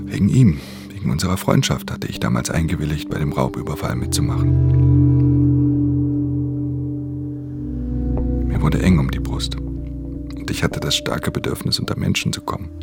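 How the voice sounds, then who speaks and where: An actor playing a brooding, solitary pickpocket who narrates his crimes in a controlled, introspective, hushed way in a radio drama. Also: extremely low pitch, lively intonation, fairly quick, gravelly, exaggerated voice. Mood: uneasy, melancholic. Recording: studio radio drama with prominent music.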